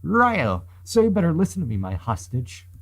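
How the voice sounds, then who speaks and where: A man's voice: fairly high pitch, wildly swooping pitch, moderate pace, gravelly, pitched above the performer's own voice, exaggerated voice. Man voicing a cocky, menacing young female thief. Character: brash, threatening young female boat thief. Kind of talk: reading visual novel dialogue aloud.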